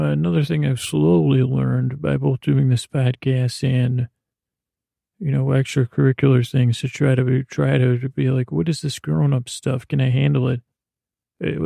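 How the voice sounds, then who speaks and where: A man hosting a sleep podcast in a creaky, lulling voice, meandering through a bedtime monologue with long pauses and halting trail-offs. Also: medium pitch, dark tone, no echo. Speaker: podcast host. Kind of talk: rambling bedtime monologue meant to lull listeners to sleep.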